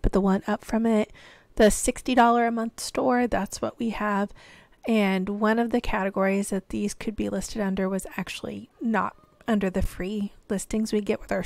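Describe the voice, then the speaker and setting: A woman in her thirties from the American Midwest, hoarse and losing her voice, chatting in a rambling voiceover at home. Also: mid-range voice, a little mellow, no room sound. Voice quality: tired, yawning. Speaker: reseller vlogger.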